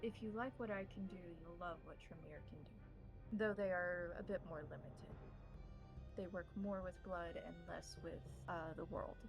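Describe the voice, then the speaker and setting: Gravelly-edged woman running a tabletop game. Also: on the low side, moderate pace, music in the background, poor audio. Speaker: game master. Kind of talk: improvised tabletop roleplay narration.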